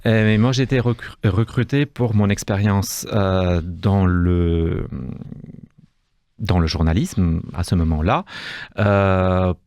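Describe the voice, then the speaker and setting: A man's voice: sits low, slow, a little mellow, no room sound, studio quality. A man with a Romanian accent, a guest in a radio interview, speaking hesitantly with many fillers and restarts. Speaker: guest, cultural institute deputy director. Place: radio studio.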